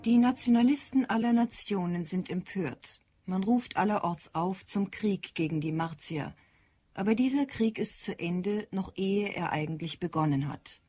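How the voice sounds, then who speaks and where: A woman's voice: mid-range voice, normal speed, warm tone, slightly rough. A woman narrating a radio drama as a scripted present-tense report.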